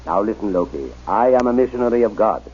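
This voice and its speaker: Casting: earnest, determined reverend, very raspy, animated, deliberate radio-serial delivery. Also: male voice, fairly low pitch. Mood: earnest, reassuring. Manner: deliberate, coaxing, emphatic delivery. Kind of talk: old-time radio drama acting. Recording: muffled, dull old radio recording.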